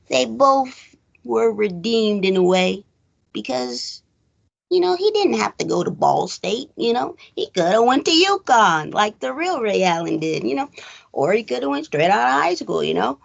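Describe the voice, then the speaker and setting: A woman's voice: medium pitch, creaky, muffled recording. An American woman hosting a casual movie chat, rambling and hedging as she goes, with frequent filler phrases. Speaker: podcast host.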